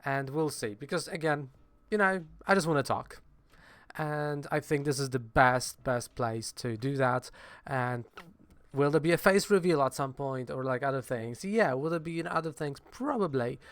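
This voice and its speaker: A young man whose first language is Polish chats casually to his channel, wandering between thoughts with plenty of fillers and restarts. His voice is smooth and clear at a middling pitch. The sound is dry and close, with no room around it. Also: normal speed, no room sound. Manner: rambling, frequent fillers and self-corrections. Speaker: channel host. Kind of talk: casual vlog chat.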